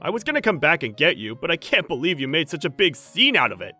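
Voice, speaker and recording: Man doing a selfish young husband, dismissive, lively up-and-down pitch, bright voice, soft music under. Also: fast talker, heavily acted. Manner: dismissive, offhand.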